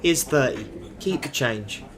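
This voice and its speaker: A man's voice: mid-range voice, lively intonation, a little slow, close to an everyday voice. A man playing a firm team leader. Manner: firm, authoritative, swears when angry. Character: stern male team leader, blunt and protective.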